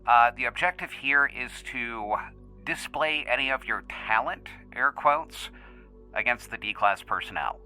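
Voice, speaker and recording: Man playing a polite researcher, very bright voice. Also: medium pitch, unhurried, faint music behind, poor audio. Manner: courteous, procedural. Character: polite, formal male researcher conducting a test.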